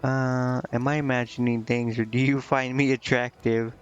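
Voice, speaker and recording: A man's voice: medium pitch, level tone, normal speed, soft background music. Hesitant man streaming a game, slightly dull mic. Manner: hesitant, rambling, muttering to himself. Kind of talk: casual gameplay commentary, reading game dialogue aloud.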